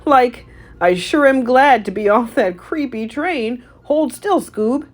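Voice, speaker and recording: Goofy scaredy-cat young man performed by a woman, high and swooping, drawling exclamations, children's storybook cartoon style. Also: animated. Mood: weary, whiny. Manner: exclamatory, drawn-out, peppers talk with "like".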